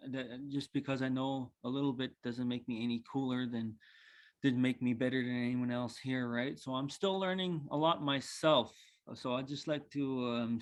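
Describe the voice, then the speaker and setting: A man in his twenties from northern Saskatchewan, a cultural coordinator giving a relaxed, humble talk over a video call, with many ums and uhs. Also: medium pitch. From northern Saskatchewan, Canada. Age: 20s. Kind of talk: casual spoken presentation.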